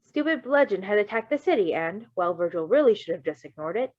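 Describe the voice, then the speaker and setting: A woman reads fan fiction in an expressive, invested way, slipping into casual commentary. Her voice is mid-pitched and very dry, with slightly dull recording quality. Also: quick pace, dry recording. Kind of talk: story reading with casual asides.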